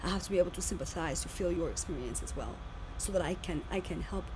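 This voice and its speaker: A woman's voice: fairly low pitch, fast. A woman teacher in her thirties with a big voice, lecturing her class animatedly over a video call. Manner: animated, repeats and restarts phrases. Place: home room, indoors. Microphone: webcam on video call. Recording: noisy webcam audio.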